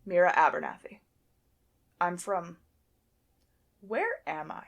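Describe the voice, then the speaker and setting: Woman acting a gravelly, low-voiced female werewolf alpha. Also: wildly swooping pitch, slow pace, heavily acted. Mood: wary, unsure. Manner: blunt, dry, informal. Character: guarded, blunt young female werewolf pack alpha. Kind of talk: scripted audio drama acting.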